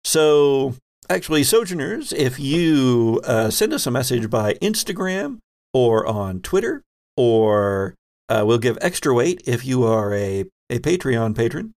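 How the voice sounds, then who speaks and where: A man's voice: mid-range voice, big pitch swings, a little slow, a little mellow. A man hosting a tabletop role-playing podcast as game master, talking casually to players and listeners.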